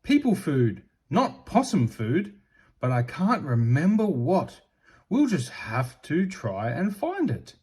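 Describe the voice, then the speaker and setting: Man reading as a kindly grandmother possum, lively pitch. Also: slow pace, breathy edge, exaggerated voice. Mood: excited. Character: kindly old grandmother possum, magical and encouraging. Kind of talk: children's storybook reading.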